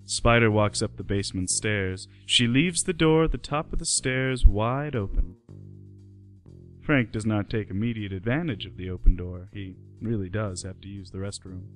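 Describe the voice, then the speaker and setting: A man with a fairly low voice narrates a radio play from a script. Music plays behind him. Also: normal speed.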